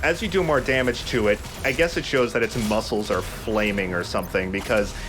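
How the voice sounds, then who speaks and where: A man's voice: lowish voice, fairly quick, music in the background. A man commentating a video game casually, explaining things with mock-announcer flourishes. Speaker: let's play host and gamer.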